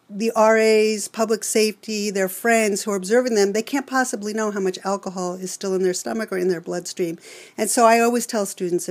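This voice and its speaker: A woman's voice: medium pitch, a little mellow. New York woman counselor, earnest. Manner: earnest, explanatory, lecturing. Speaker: college alcohol counselor. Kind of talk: expert interview answers.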